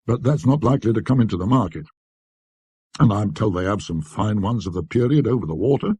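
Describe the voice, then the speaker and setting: A man's voice: lowish voice, animated, brisk, mellow, slightly rough, dry recording. A man voicing a shrewd, deferential antique dealer with folksy, ungrammatical sales patter, in a storybook reading. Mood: persuasive, earnest.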